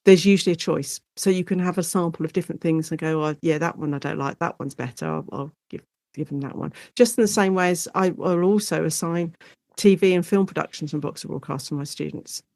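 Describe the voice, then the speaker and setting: Casting: woman lecturer as chatty interview guest, animated delivery, lowish pitch, no room sound. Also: brisk, no echo, clean studio sound. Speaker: guest, university lecturer and author. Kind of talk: casual interview chat.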